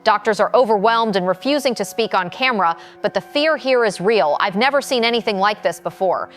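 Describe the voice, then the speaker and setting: A woman's voice: mid-range voice, lively intonation, fairly quick, a bit bright, lightly acted. A woman playing a shaken but professional field reporter giving a grave live report in an audio drama. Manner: grave, formal, descriptive. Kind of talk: live news report delivery.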